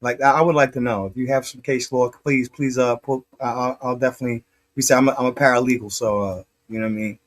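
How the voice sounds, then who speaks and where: A man co-hosting a live stream, talking casually in a halting, hesitant way with frequent ums. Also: medium pitch, steady tone. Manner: hesitant, lots of ums, swears and laughs. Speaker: stream co-host.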